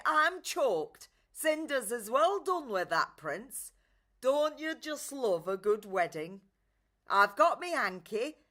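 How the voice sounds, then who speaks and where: A woman's voice: on the high side, pushed and bright, heavily acted. A woman playing a chatty, sentimental fairy godmother giving colloquial, gossipy asides in a pantomime radio play.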